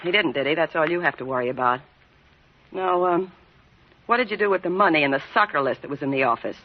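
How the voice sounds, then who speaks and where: A woman's voice: sits low, expressive, fast. An actress playing a scheming, sarcastic female racketeer in 1940s radio crime drama, brassy, slangy and needling. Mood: coolly threatening. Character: hard-boiled, scheming, sarcastic racketeer woman. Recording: dull vintage radio recording.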